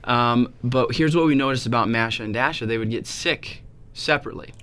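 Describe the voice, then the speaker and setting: A man's voice: mid-range voice, a little fast, a bit bright, clean tone. A chiropractor, a man, patiently explaining health topics on a talk show, often checking in with "right?". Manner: patient explainer, frequent tag questions. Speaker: chiropractor guest.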